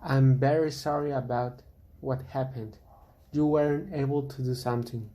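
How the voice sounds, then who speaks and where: A steady, protective hunter of beasts, acted by a man. His voice is dark and mid-pitched, and he speaks in a consoling, matter-of-fact way, as in an amateur radio play. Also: moderate pace, slight background noise, natural voice. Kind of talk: amateur radio drama acting.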